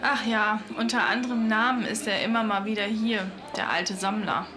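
A woman's voice: mid-range voice, steady tone, normal speed, close to an everyday voice. A woman playing a mysterious, commanding ally of space adventurers in a German audio drama. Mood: knowing. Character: knowing female ally urging space adventurers onward. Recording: loud music under the voice.

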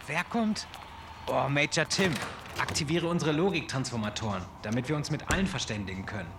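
A man's voice: medium pitch, expressive, brisk, echoey room, noisy background, lightly acted. A man playing a spaceship captain leading an adventurous crew in a German audio drama. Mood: brisk, commanding.

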